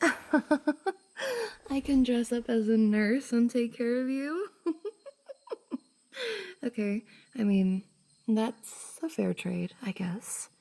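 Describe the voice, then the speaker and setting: A woman playing a shy, bubbly young cosplayer and affectionate best friend in an intimate roleplay ASMR, soft, hesitant and giggly. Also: on the high side, sing-song, drawn-out words, pauses often, exaggerated voice. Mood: playful, happy. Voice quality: soft, breathy, slightly husky. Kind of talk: F4M roleplay ASMR, intimate one-sided conversation.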